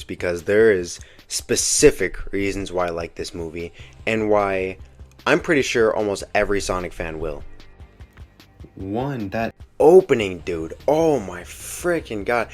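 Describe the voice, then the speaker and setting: A young man giving casual, slangy movie commentary with the earnest enthusiasm of a fan. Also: fairly low pitch. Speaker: video essay host reviewing a film. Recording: music behind the voice. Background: background music.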